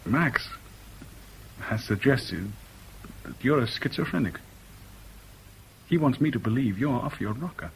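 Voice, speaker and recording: A man's voice: medium pitch, lively intonation, a little slow, gravelly, long pauses, slight background noise, low-quality recording. An actor playing a terse, resolute Englishman in a 1970s radio drama, with an English accent and a clipped, serious manner. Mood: bitter, exasperated. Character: respectable Englishman, terse, resolute, embittered by love. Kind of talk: 1970s British radio drama acting and first-person narration.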